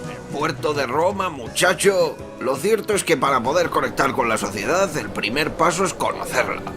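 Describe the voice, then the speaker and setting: A man's voice: a little high, animated, normal speed, natural voice. Theatrical old-school professor acted by a man, music behind. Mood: wry indignation. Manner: theatrical, pedantic, sprinkles Latin. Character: learned, ironic male archaeology professor, paternal mentor. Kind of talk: radio soap opera acting.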